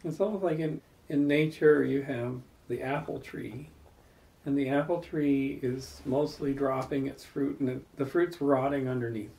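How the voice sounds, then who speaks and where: A man's voice: medium pitch, steady tone, measured pace, gravelly, mellow, poor audio. A man hosting a casual salon gathering, talking thoughtfully in long rambling stories, recorded indoors in a living room. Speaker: salon host. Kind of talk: reflective storytelling in casual group talk.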